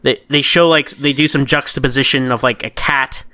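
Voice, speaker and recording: A man co-hosting a podcast in casual review chat, skeptical and halting as he works through his points. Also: mid-range voice, steady tone, pushed and bright, no room sound. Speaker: podcast co-host.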